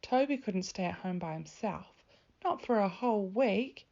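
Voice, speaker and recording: Woman, creaky voice, expressive children's story narration, teacher reading aloud, slightly dull recording. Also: mid-range voice, measured pace.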